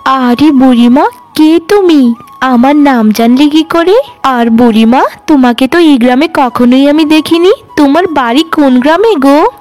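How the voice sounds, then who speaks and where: Woman playing a sweet, high-voiced orphan village girl. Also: unhurried, a little mellow. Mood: surprised, curious. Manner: earnest, plaintive, grateful.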